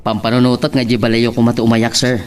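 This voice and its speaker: A stern, dutiful older brother in a radio drama, played by a man. His voice sits at medium pitch, with faint ambience behind. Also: little pitch movement, fast talker, a little hiss or hum, natural voice. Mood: respectful, rueful. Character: stern, responsible older brother, respectful young employee. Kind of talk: Philippine radio soap acting.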